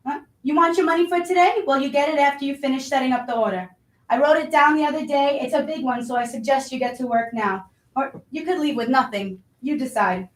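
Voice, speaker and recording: A woman's voice: sits high, brisk, natural voice. A woman playing a blustery, money-minded restaurant boss in a staged reading of a play. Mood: bossy, scolding. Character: pushy, money-minded restaurant boss, self-pitying and scheming. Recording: roomy, distant microphone.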